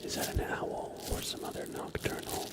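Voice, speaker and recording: A man doing ASMR on a live stream in a breathy, hushed whisper, speaking gently and softly. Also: moderate pace. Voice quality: breathy whisper with soft-spoken stretches. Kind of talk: ASMR, whispered relaxation and guided imagery.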